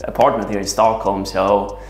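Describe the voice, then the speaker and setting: A man's voice: fairly low pitch, moderate pace. A young man in his twenties with a Swedish accent, casually showing viewers around his apartment and often checking in with "right?" and "you know". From Sweden. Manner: relaxed, chatty, peppered with "right?" and "you know". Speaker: vlogger. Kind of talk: casual vlog apartment tour. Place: apartment indoors.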